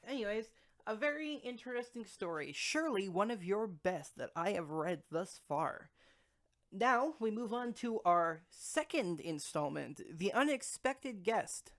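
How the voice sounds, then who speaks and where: A woman's voice: mid-range voice, animated, measured pace, fairly bright tone. A woman co-hosting a podcast, reading short stories aloud in an amateur storytelling style and now and then stumbling over words.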